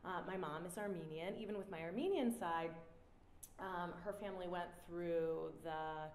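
Woman in her 30s talking about her art, kinda low voice, lots of ums, echoey gallery room. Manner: relaxed, reflective, many "um" pauses. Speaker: artist giving a talk. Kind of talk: presenting her artwork with slides. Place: indoor gallery room before an audience. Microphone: standing mic on a stand. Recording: roomy gallery sound, faint noise.

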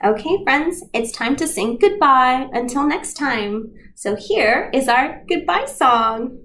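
High-pitched young woman, slow toddler storytime host. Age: young adult. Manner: bright-eyed, encouraging, sing-song and expressive. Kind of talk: toddler storytime with songs and rhymes. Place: indoors in front of a decorated backdrop.

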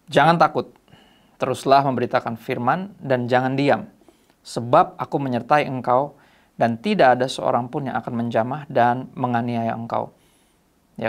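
A man in his thirties hosting a youth devotional show, explaining Bible passages in a relaxed teaching manner and reading verses aloud. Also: mid-range voice, gravelly. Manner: reads scripture aloud between casual remarks. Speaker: host. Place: small dark studio set, indoors.

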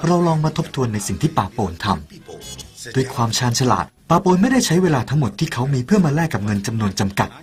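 A man's voice: mid-range voice, sing-song, quick pace. A man narrating a parable in an earnest, persuasive way and speaking directly to the listener. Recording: soft music behind the voice.

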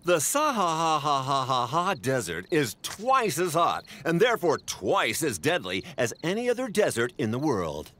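A boastful dog reporter in a cartoon news parody. His voice is high for a man and very lively, with pompous emphasis and an irritable edge. Noisy ambience sits behind him. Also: a little slow, hardly any pauses, heavily acted. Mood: insistent, irritated.

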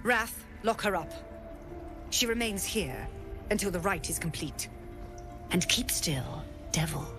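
A woman's voice: on the low side, animated, unhurried, long pauses, music in the background. A woman voicing a cold, imperious druid leader in a video game, commanding and threatening. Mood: menacing.

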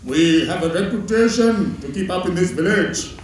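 A man's voice: high-pitched, expressive, normal speed. A man acting a stern, status-proud, easily enraged middle-aged father in a stage play. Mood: reproving. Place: theatre stage before an audience. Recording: very echoey distant theatre recording, noise.

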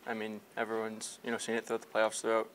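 A man's voice: fairly low pitch, monotone, fast talker, a bit bright, slight background noise, low-quality recording. A young male hockey player in a locker room after a game, answering reporters with many fillers and restarts. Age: young adult. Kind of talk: post-game media scrum. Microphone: several reporters' handheld mics held close.

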